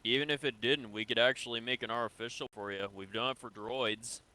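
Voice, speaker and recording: A man's voice: medium pitch, fairly even intonation, brisk, bright, forceful tone, poor audio. A man improvising a casual, conversational interrogator role in online game voice chat. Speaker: roleplayer acting as an interrogator.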